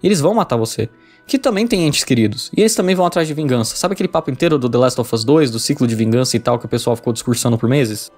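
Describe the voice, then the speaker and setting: Lively, fast-talking Brazilian man narrating. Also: mid-range voice, soft background music. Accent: Brazilian Portuguese. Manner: conversational, addresses the viewer with questions. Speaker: video essayist. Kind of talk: informal scripted narration.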